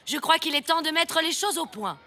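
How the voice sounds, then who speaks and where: Bright, forceful, stern cartoon schoolteacher. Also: female voice, sits high, lively intonation, heavily acted. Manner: brisk, commanding. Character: stern, no-nonsense woman schoolteacher. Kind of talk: French-dubbed children's cartoon acting.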